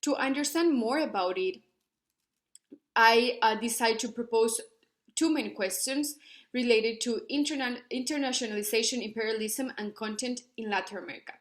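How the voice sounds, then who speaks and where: A woman's voice: on the high side, moderate pace. A young woman from Ecuador, giving an earnest academic presentation with many fillers and restarts. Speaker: student researcher presenting.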